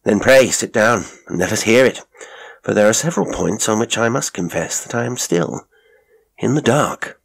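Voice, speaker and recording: A man acts out a keen, commanding storybook detective. He speaks briskly and with authority, in a gravelly, husky voice. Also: lowish voice, lively intonation, voice pushed up, exaggerated voice. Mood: probing. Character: sharp, commanding male detective. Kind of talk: audiobook character acting.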